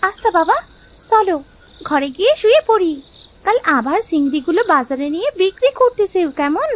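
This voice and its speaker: Woman as very high-pitched village daughter. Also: sing-song, a little fast, a little hiss or hum. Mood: gentle. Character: sweet, dutiful young village daughter. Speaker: cartoon voice actor. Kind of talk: Bengali moral fairy-tale cartoon acting.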